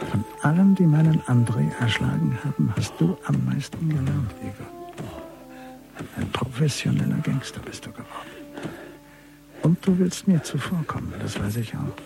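Male general, gravelly mid-pitched voice, grim and vengeful, measured menacing monologue, radio drama, music behind. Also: animated. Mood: grim, resolved. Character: grim, vengeful army general, bereaved father. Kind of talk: radio crime drama acting.

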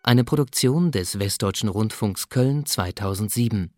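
A man's voice: fairly low pitch, expressive, moderate pace, over loud music. A man reading scripted credits as the announcer of a radio play.

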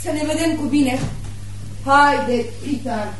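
A woman's voice: high-pitched, very noisy, natural voice. An actress in a radio play playing a brash, meddling middle-aged mother with a domineering, scolding manner. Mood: parting concern. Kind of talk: radio theatre acting.

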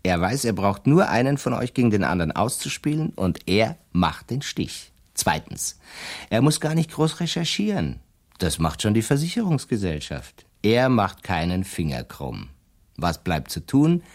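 An actor playing a shrewd, self-assured defence lawyer in a radio play, explaining his schemes deliberately and slyly. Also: lowish voice, very animated. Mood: explaining, cool. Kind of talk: German radio drama dialogue.

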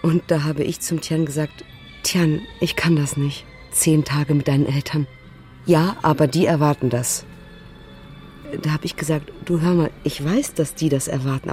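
A woman's voice: sits low, lightly acted. A woman playing an outspoken, exasperated partner in a cross-cultural couple, retelling their exchanges in a chatty, conversational way for a radio drama. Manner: chatty, animated retelling, quoting both sides.

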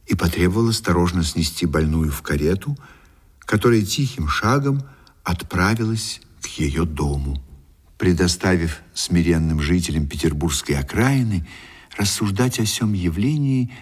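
An actor narrating a period tale for a radio play in an expressive, measured storytelling manner. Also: male voice, low-pitched, husky, exaggerated voice. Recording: radio drama with faint music behind.

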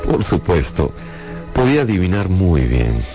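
An actor playing an urbane Russian gentleman banker in a radio drama, confiding his memories in a deliberate, intimate way. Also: low-pitched, sing-song. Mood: intimate, reminiscing. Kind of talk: radio drama dialogue and first-person narration. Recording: loud music under the voice.

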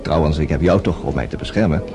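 An actor playing an excitable, easily flustered gentleman at a nightclub in a radio drama, emphatic and sometimes stammering. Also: extremely low pitch, fast talker. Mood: flustered, insistent. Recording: loud music behind the voice.

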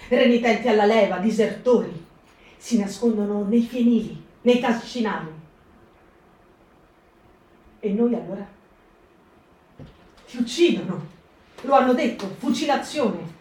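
A woman's voice: on the high side, a little mellow, lightly acted. A woman voicing a frightened wartime woman helping partisans, in a reflective, anxious monologue. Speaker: actor in a radio reading. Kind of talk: stage reading for radio. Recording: echoey room, distant mic.

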